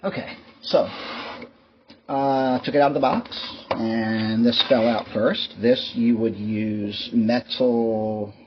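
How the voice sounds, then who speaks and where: A man's voice: medium pitch, fairly even intonation, slow. A man hosting a casual show-and-tell vlog, talking in a rambling, wry, resigned way. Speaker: YouTube host. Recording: muffled lo-fi mic with clear background noise.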